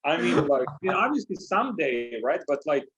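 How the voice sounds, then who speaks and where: A man's voice: a little high, big pitch swings, a little fast, fairly bright tone, highs cut off. Casual, swearing male interview host. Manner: loose and casual, swears, says "like" often.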